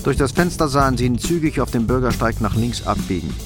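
A man's voice: mid-range voice, animated, brisk. A man reading scripted narration for a crime radio drama. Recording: prominent music behind the narration.

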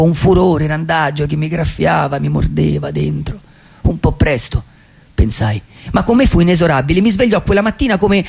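Husky, gravelly wistful vagabond played by a man. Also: fairly high pitch, a little mellow, exaggerated voice. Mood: regretful. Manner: reflective, halting, with deliberate pauses. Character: wistful, poetic male vagabond dreamer who loves cinema. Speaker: radio theatre actor performing a monologue. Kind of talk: theatrical dramatic monologue, radio play.

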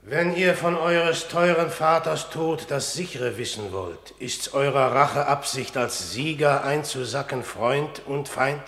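A man's voice: medium pitch, slow, vocal fry, hardly any pauses, fairly bright tone. A troubled, commanding king in an echoing hall. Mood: placating. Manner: declamatory, weighty phrasing. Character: troubled, scheming middle-aged king, commanding and smooth-tongued. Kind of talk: German classical radio drama acting. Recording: echoey hall with long reverb.

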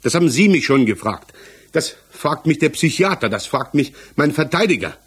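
A man's voice: on the high side, very animated, fairly bright tone, slightly rough, full character voice. An actor playing a defensive, hesitant married village tradesman under questioning in a 1960s German radio play, searching for his words. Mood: defensive, agitated. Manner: halting, searching for words, evasive.

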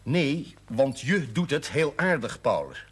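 An actor playing a jovial middle-aged parish priest, hearty and self-mocking, in a 1970s television drama. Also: male voice, mid-range voice, very animated, slow pace, breathy edge, exaggerated voice. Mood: warm.

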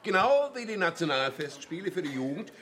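An actor playing a pompous, blustering nationalist professor who lectures and talks over others in a radio drama. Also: male voice, sits high, sing-song, brisk. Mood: pompous, lecturing. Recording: studio radio play with loud music underneath.

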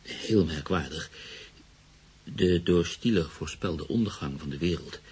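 An actor in a radio drama playing an anxious, brooding newspaper columnist who speaks earnestly and confidingly. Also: male voice, sits low, unhurried, darkish tone, husky, full character voice. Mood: subdued, reflective. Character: troubled, anxious newspaper columnist haunted by a mystery.

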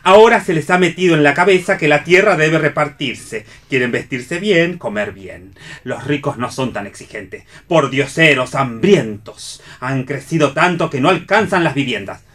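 An actor playing an officious, scornful park guard in a radio play, declaiming with authority in an Argentine accent. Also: male voice, on the high side, lively intonation, moderate pace, a bit bright. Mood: scornful, preachy. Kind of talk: theatrical read radio play.

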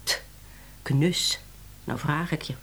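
A woman's voice: low voice, takes it slowly, creaky, a little hiss or hum, full character voice. An actress playing a busy, slightly exasperated woman who heads a publishing department, in radio drama dialogue. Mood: exasperated, tart.

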